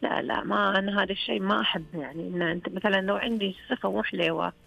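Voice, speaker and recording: A woman's voice: mid-range voice, very fast, vocal fry, fairly bright tone, muffled recording, soft background music. A woman with a Saihat Saudi accent, calling in to a radio show and chatting earnestly and frankly. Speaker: radio call-in caller. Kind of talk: casual phone-in chat.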